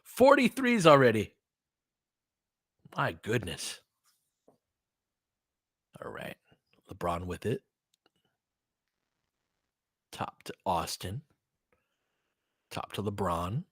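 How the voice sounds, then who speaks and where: A man's voice: on the low side, wildly swooping pitch, slow, long pauses, a bit bright, slightly rough, no room sound, studio quality. A man doing casual live basketball commentary, switching between clipped play calls and conversational analysis of the game.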